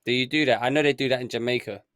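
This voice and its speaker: A man's voice: mid-range voice, steady tone, racing, fairly bright tone, no room sound, clean studio sound. A man co-hosting a podcast over a video call, eagerly quizzing the others. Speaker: podcast co-host. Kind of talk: casual chat.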